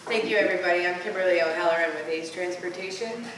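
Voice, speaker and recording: A woman's voice: lowish voice, fast. A woman, a business owner, giving a plain acceptance speech at an awards dinner. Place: banquet room at an awards dinner. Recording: distant echoey hall with noise.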